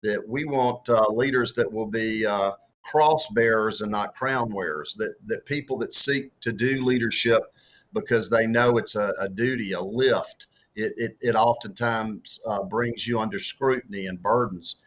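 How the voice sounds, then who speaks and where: A man's voice: fairly low pitch, unhurried, a bit bright, muffled recording. A middle-aged man with a strong Southern US accent who answers interview questions about faith and leadership, stumbling and repeating words with many fillers. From Deep South of the US. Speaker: author and former political candidate.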